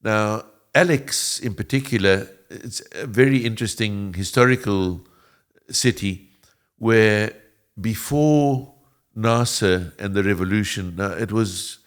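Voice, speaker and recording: A man's voice: fairly low pitch, slow pace. A man in his seventies, born in Egypt and raised in South Africa, Italian as first language, speaking as an interview guest who chuckles often and rambles with restarts. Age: 70s. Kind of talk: interview conversation.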